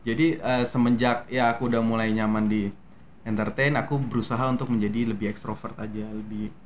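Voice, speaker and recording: A man's voice: fairly low pitch, a little fast, a little hiss or hum. Young man from Medan, casual and hesitant. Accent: Medan Indonesian, softened. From Medan, Indonesia. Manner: relaxed, hesitant, many fillers and restarts. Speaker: actor, guest. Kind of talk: casual interview talk.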